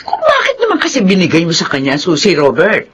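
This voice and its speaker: Husky, anguished Filipino mother. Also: lowish voice, wildly swooping pitch, fast talker, full character voice. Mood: worried, pleading. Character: anguished, fretful mother of two grown sons. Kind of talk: Filipino radio drama acting.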